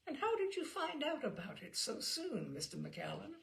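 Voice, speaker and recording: A woman playing a brisk, firm woman who runs a household in a novel, dryly polite and decisive. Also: high-pitched, wildly swooping pitch, gravelly, pitched above the performer's own voice, exaggerated voice.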